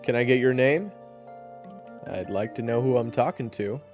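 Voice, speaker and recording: A man's voice: medium pitch, moderate pace, darkish tone, soft background music, lightly acted. A man playing a wary, sardonic gunslinger who talks in a bold, casual, challenging way in an audio roleplay. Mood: wry, probing. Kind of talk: audio roleplay drama acting.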